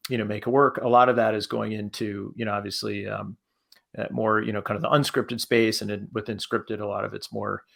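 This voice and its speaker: A man talking casually as a panelist, in a halting manner that circles back over his phrases. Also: fairly low pitch, little pitch movement, gravelly, fairly bright tone. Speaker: panelist, podcast creator.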